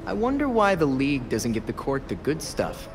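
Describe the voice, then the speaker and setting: A young man playing an earnest, sharp vigilante hero in a video game, inquisitive, wry and challenging in manner. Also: mid-range voice, wildly swooping pitch, normal speed, music in the background. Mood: curious, probing. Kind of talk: video game cutscene acting.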